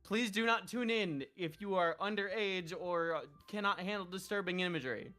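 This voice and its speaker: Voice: mid-range voice, moderate pace, sharp tone, soft background music. A streamer chatting casually and informally with swearing while playing a game and reading its text aloud. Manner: profane, informal. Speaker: host, streamer.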